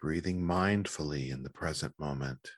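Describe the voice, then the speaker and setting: A man leading a guided meditation in a calm, gentle, measured manner with long pauses. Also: rumbling low, no room sound, studio quality.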